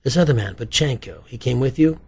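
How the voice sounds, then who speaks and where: Male narrator as tough woman detective, brisk questioning, husky tone, curt, audiobook dialogue. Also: medium pitch, expressive, darkish tone. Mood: hard, coaxing. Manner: brisk, no-nonsense, probing. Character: tough, curt female police detective interrogating.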